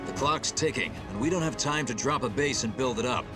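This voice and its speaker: An actor playing a resolute military ship captain in a video game, briefing troops with firm authority. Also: male voice, mid-range voice, brisk, over music, natural voice. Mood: commanding. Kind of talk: video game cutscene acting.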